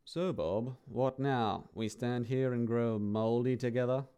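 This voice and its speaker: A man's voice: medium pitch, measured pace, warm tone, clear, resonant tone, lightly acted. A man voicing a pompous, haughty undead male cult master who speaks sternly and with contempt. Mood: contemptuous.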